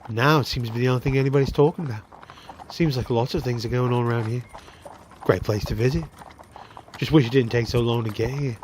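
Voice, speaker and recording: A man's voice: medium pitch, fast, darkish tone, slight background noise, lightly acted. A man playing a curious, chatty young cart hand in a fantasy radio drama, rambling in plain speech. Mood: eager. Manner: rambling, wondering, plain-spoken.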